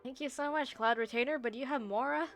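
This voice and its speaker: A woman's voice: high-pitched, quick pace. A woman livestreamer making playful, teasing, casual commentary on a game. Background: background music.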